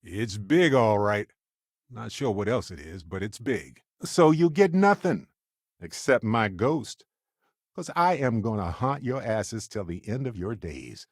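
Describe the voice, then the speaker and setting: Game companion role: wry, kindly space explorer, lowish pitch, sincere everyday delivery, very dry studio sound. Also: male voice, lively intonation, unhurried, no room sound. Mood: alert, urgent. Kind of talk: video game voice acting.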